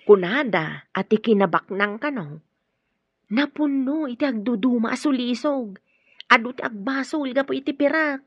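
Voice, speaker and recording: An actress playing a chatty, respectful housemaid in a radio drama, deferential and confiding, with a Filipino accent. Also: female voice, on the high side, expressive, moderate pace, slightly rough, dry recording, dull audio. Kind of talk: Ilocano radio drama acting.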